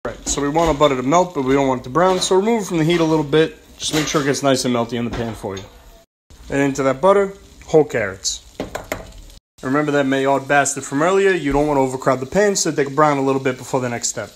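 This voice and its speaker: Young guy cooking at home, talks fast n cocky, cracks jokes, phone mic, light kitchen hum. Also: mid-range voice. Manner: brash, joking, streetwise slang. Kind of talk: casual cooking vlog narration. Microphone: phone camera held close.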